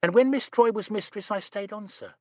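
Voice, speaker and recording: Young woman, housemaid, chatty, prim, nervous, higher voice, man doing it, dry sound. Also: fairly quick, no echo, highs cut off, lightly acted. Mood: chattering. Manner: prim, breathless, gushing, says sir constantly.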